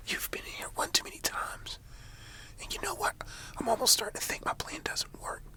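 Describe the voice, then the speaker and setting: A man playing a stern but caring high school principal in a whispered ASMR roleplay, scolding a student in informal, folksy language with a breathy voice. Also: moderate pace, pushed and bright. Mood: scolding, earnest. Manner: informal, admonishing, folksy phrasing.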